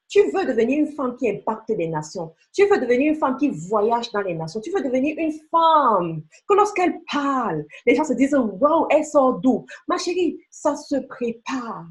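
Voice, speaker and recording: A woman giving a motivational sales training talk, emphatic and coaxing, speaking straight to the listener. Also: fairly high pitch. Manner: emphatic, coaxing, addresses listener directly with rhetorical questions. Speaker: beauty sales team leader and trainer.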